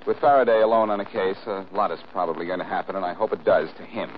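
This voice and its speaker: A male actor as a low-pitched, unflappable American detective hero of vintage radio. He is wry and quick with a dry crack. The recording is dull, with faint background noise. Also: steady tone, lightly acted. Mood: frank, wry. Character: wisecracking, unflappable male adventurer-sleuth. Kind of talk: 1940s radio crime drama acting.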